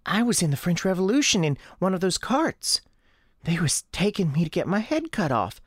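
Young Southern schoolgirl acted by an adult, high and animated, eager, rustic, rambling; radio tale. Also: no echo, clean studio sound, natural voice. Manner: rustic childish grammar, eager rambling confessions. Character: frightened, earnest young Southern girl, chatty schoolchild.

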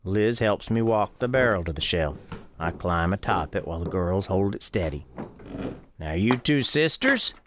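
A storyteller narrating a scripted radio tale in the first person, with a folksy, measured manner. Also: sits low, noisy background.

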